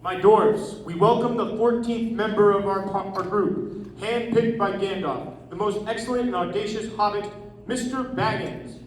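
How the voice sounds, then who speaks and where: A man's voice: high voice, normal speed, close to an everyday voice. Man playing a proud dwarf leader, echoing room. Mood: commanding. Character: proud commanding male dwarf leader, grave and formal. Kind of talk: readers theatre stage performance. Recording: echoey room from far off, noisy.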